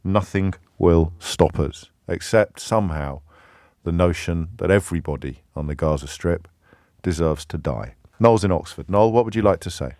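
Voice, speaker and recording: A man hosting a talk radio phone-in, arguing in measured, forceful sentences with deliberate pauses. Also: low-pitched, no room sound, studio quality. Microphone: studio microphone.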